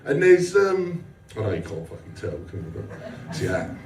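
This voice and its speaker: A man's voice: on the low side, sing-song, moderate pace. A man doing live stand-up comedy, blunt and sweary, chatting with the audience in a self-deprecating way. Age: 40s. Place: small indoor comedy venue with a live audience. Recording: roomy live club, distant mic, audience noise.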